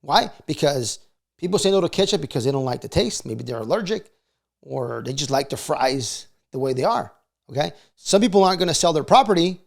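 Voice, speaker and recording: Higher-pitched man with a creaky voice. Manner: conversational, frequently seeks agreement with short check questions. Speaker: video host giving sales advice. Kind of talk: casual motivational advice talk.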